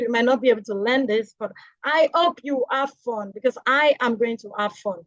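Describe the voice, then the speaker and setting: A woman's voice: high-pitched, dry recording. A woman hosting an online kids' webinar, cheerfully introducing the tutor and talking straight to the children. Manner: enthusiastic, addresses children directly. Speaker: webinar host. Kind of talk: upbeat introduction to a kids' class. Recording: dull, dry video-call audio.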